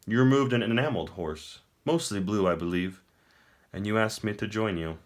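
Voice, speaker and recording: A man voicing a gloating, smooth-talking wizard emperor in a storybook, theatrical, grandly formal and mockingly courteous. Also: on the low side, normal speed, lightly acted. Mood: amused. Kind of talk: audiobook character acting.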